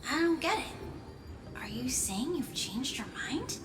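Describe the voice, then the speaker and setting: A tiny, timid girl in a game drama, extremely high in pitch and slow to speak. She sounds naive, curious and hesitant, in an echoey space with music. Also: big pitch swings, full character voice. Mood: confused. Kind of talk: scripted video game drama acting.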